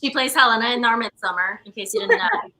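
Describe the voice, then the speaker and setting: A woman in her twenties with a very bright, slightly high voice. She explains things in a loose, informal interview style. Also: big pitch swings, quick pace. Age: 20s. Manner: informal, conversational. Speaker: theater company co-founder, interview guest. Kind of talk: casual interview chat.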